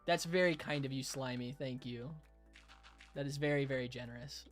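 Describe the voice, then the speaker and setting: Hoarse young man chatting on stream. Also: mid-range voice, normal speed, soft background music. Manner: chatty, chuckles often, thanks viewers warmly. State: hoarse, raspy throat. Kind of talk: casual live-stream chat reading viewer comments.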